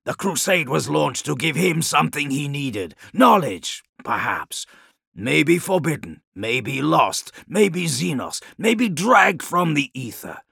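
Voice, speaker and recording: A male narrator as a grave warlord khan from an audiobook, proud and brooding. His voice is gravelly and dry, with slow, deliberate phrases that rise and fall expressively. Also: on the high side, sing-song, bright, forceful tone, no room sound, pitched above the performer's own voice, full character voice. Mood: pondering. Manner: measured, deliberate, formal phrasing.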